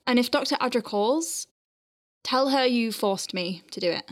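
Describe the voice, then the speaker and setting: A woman's voice: a little high, normal speed, close to an everyday voice. A woman playing an anxious, exasperated space medic working under pressure in an audio drama. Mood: urgent, anxious. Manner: urgent, exasperated.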